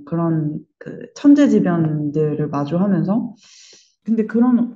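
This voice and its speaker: A woman on an art production team speaking reflectively in an interview, thoughtfully pausing mid-phrase to find her words. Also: on the low side, mellow, lo-fi sound.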